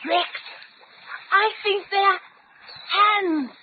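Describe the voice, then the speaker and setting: High-voiced, determined radio serial heroine. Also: female voice, fairly even intonation, extremely slow, exaggerated voice. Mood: awestruck. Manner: earnest, melodramatic, commanding. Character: determined, dramatic young heroine, commanding and awestruck. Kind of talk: old-time radio adventure acting. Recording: tinny band-limited radio drama, background noise.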